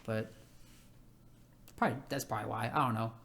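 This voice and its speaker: A young man with a nasal, rather high voice, co-hosting a casual podcast chat, with halting restarts. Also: steady tone, a bit bright. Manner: halting, stammering restarts, laughs often. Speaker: podcast co-host.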